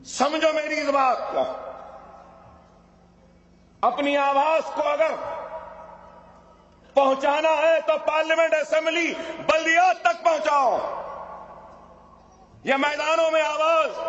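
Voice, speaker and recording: Fiery high-voiced man orating. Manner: impassioned, rhetorical questions, rising to shouts. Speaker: political speaker. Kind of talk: fiery political rally speech. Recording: echoey hall sound, dull lo-fi.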